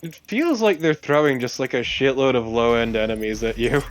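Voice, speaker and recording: A man's voice: medium pitch, animated, moderate pace. A man chatting casually as a let's play co-host, blunt and sometimes profane. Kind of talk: casual gaming chat.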